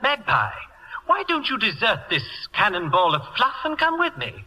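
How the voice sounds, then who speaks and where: An actor playing a vain, theatrically affectionate English playwright in an old radio comedy, with an arch, gushing drawl. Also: male voice, high-pitched, huge pitch swings, moderate pace, bright, forceful tone, a little hiss or hum, heavily acted. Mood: grandly self-satisfied. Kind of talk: 1940s radio comedy acting. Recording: old radio broadcast in a reverberant hall.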